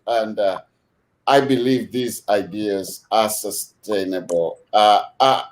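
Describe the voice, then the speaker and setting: A man hosting a video call discussion, measured and unhurried, with many fillers as he thanks his guests. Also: medium pitch, a little mellow. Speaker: host.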